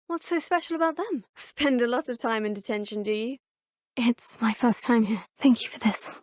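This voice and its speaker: A woman's voice: on the high side, normal speed, darkish tone, dry recording, clean studio sound, natural voice. Woman voicing an earnest witch student. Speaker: player character student. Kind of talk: video game dialogue.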